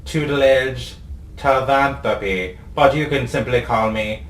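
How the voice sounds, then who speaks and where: A man's voice: medium pitch, measured pace, lightly acted. A man voicing a tall, peaceable alien visitor with a grand, formal manner in a radio-style story. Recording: echoey room, faint music.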